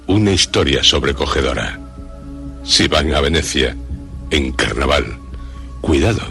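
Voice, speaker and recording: Male suspense presenter, very low voice, slow, ominous pauses, theatrical, Castilian Spanish accent, faint music. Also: gravelly, pushed and bright. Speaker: radio programme presenter.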